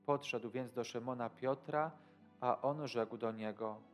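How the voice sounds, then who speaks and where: A man in his thirties speaking as a priest in devotional commentary, deliberate and halting. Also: medium pitch, soft background music. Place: indoor studio.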